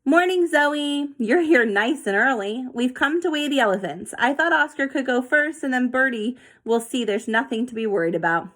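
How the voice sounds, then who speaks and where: A woman's voice: sits high, lively intonation, moderate pace, few pauses, a bit bright, pitched above the performer's own voice. Lady reading a friendly zookeeper guy in a kids story, cheerful, practical.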